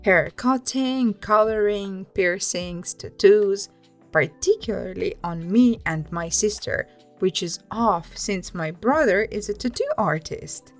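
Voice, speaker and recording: A woman's voice: medium pitch, animated, slow, soft background music. A woman narrating written personal stories aloud as a host, in a scripted storytelling style.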